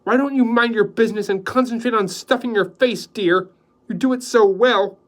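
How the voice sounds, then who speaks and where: A man's voice: sits high, little pitch movement, slow pace, voice pushed up. A man putting on a curt, snappish woman. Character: sharp-tongued moody woman, overworked potter.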